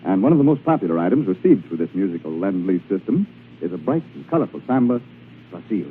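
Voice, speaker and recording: A man's voice: deep voice, big pitch swings, quick pace, narrow, tinny audio, faint music behind. An American man working as a radio announcer in the scripted style of the 1940s, on an old broadcast recording.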